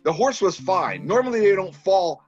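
A man's voice: sits high, moderate pace, lo-fi sound, soft background music. A man from Massachusetts with a Boston accent, a podcast guest telling stories and acting out the dialogue. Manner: animated storyteller who acts out quoted dialogue. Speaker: guest, filmmaker. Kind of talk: casual podcast storytelling.

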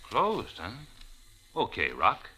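A man's voice: lowish voice, wildly swooping pitch, slow pace, heavily acted. An actor playing a seedy, pushy ex-wrestler in an old radio drama, wheedling in chummy tough-guy slang. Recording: old 1940s radio recording.

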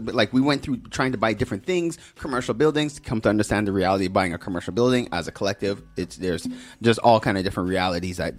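A man hosting a show, talking in a casual, conversational way with plenty of slang. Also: lowish voice. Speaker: show host. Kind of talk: casual podcast-style talk.

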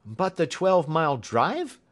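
A man's voice: mid-range voice, sing-song, a little slow, pitched above the performer's own voice. A man voicing an earnest, shaken young engineer in an audiobook. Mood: astonished. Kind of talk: audiobook character acting.

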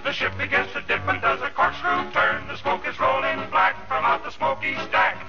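High-voiced man singing on old radio. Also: steady tone, moderate pace. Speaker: singer in a vocal group. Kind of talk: 1940s radio novelty song. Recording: muffled old radio recording with music.

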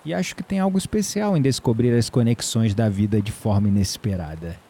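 Um ator brasileiro interpretando um homem tranquilo, brincalhão e sedutor numa áudio série, em tom de conversa. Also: male voice, lowish voice, fairly quick, warm tone, a little hiss or hum, lightly acted. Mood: playful, teasing. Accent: Brazilian. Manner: teasing, chuckling, conversational. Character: easygoing, flirtatious man, herb grower, playful and warm. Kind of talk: audio drama dialogue.

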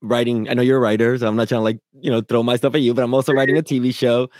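Brief: man, podcast host, quick delivery, lively and laughing, dry close sound. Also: medium pitch, dry recording. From grew up in New York City. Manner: laughs often, animated and joking. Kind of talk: casual conversational interview.

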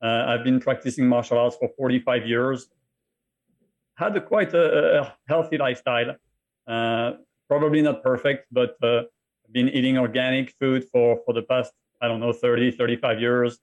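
A middle-aged man with a French accent, a podcast guest telling his own story in a halting way that often repeats words. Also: medium pitch, steady tone, muffled recording. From France. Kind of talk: interview conversation.

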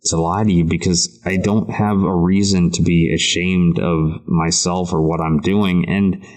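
A man hosting a casual podcast, speaking earnestly and haltingly, often repeating words as he goes. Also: deep voice, little pitch movement, darkish tone. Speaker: podcast host.

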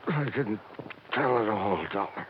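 A man's voice: lowish voice, moderate pace, natural voice. An American man playing a bitter, cornered, wounded killer in a 1950s radio drama. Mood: weak, bitter. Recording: muffled, noisy 1950s radio recording with clicks.

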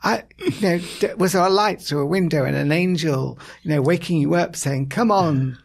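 A man's voice: on the high side, moderate pace, vocal fry. A man hosting a business podcast, asking rambling, joking questions of his guests. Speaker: podcast host. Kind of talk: interview hosting.

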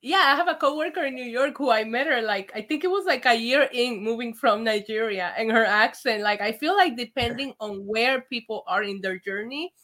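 A woman from Puerto Rico co-hosting a casual wrestling review chat, animated and chatty with lots of "like". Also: fairly high pitch, a little fast, hardly any pauses. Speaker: wrestling show co-host.

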